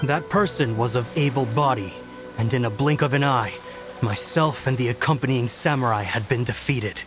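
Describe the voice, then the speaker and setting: A solemn samurai, acted by a man, recounts a dark secret in a mid-pitched voice. His delivery is deliberate, formal and dramatic, with game music clearly behind him. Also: lightly acted. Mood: solemn, haunted. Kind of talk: dramatic narrated letter, video game.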